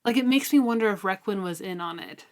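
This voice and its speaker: A woman co-hosting a book discussion podcast, chatting casually and colloquially with animated emphasis. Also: mid-range voice, fast talker. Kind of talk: casual chat about a novel.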